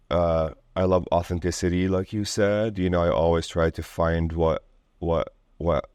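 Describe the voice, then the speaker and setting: A man from Lebanon with a very deep voice talks as a guest in a relaxed interview. He rambles informally, leaning on "like" and "you know". The sound is dry, with little room in it. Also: vocal fry, no room sound. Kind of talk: casual conversational interview.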